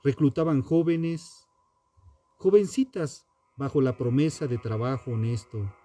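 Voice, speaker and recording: Slow-paced man narrating true crime. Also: mid-range voice, warm tone. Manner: dramatic, deliberate storytelling with pauses. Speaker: podcast host.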